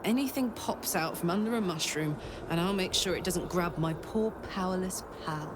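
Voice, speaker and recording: A big-hearted, brash female soldier from a fantasy game, played by a woman, with a British accent and a slightly low voice. She talks bluntly, like a protective pal. Ambient noise is clearly audible behind her. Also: normal speed, close to an everyday voice. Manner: blunt, sweary, chummy. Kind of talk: video game character acting.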